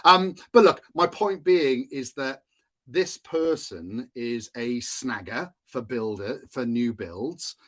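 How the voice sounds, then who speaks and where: A man's voice: medium pitch, slow. Chatty Welsh man hosting a podcast. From Wales. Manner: chatty, self-amused, laughs often, many fillers and restarts. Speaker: podcast host, marketing consultant. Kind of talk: solo podcast talk.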